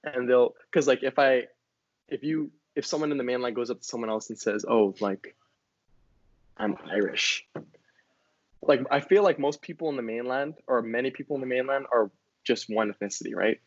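A young college man from Hawaii thinks out loud in an easygoing conversation. He fills his sentences with "like" and "um" as he works through his thoughts. The recording is dry and a little dull. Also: mid-range voice, normal speed, no room sound. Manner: reflective, chatty, many likes and ums. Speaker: college student. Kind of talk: casual conversation.

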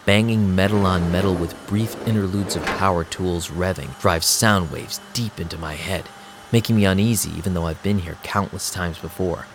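An actor playing a young vampire DJ who narrates an audio drama in a casual, streetwise and sarcastic way. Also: male voice, sits low, normal speed, noisy background. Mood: uneasy, brooding. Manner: casual, wry. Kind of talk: first-person audio drama narration and dialogue. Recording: music behind the voice.

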